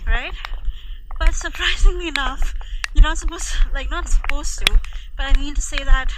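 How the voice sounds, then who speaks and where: A woman's voice: high-pitched, moderate pace. A young woman from India, chatting casually as an interviewee and filling her sentences with "like". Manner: chatty, lots of "like" fillers. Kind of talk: casual conversation. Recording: loud background noise.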